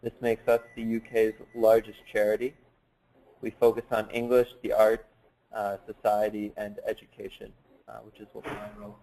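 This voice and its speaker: A man presenting in a webinar for an education organisation, measured and steady, with frequent uhs. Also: lowish voice, poor audio. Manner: flat, measured, with many uhs.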